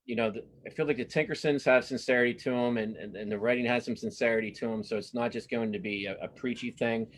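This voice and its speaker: Middle-aged male, mid-pitched, casual interview guest. Earnest, halting delivery with frequent self-corrections. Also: steady tone. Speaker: cartoonist being interviewed.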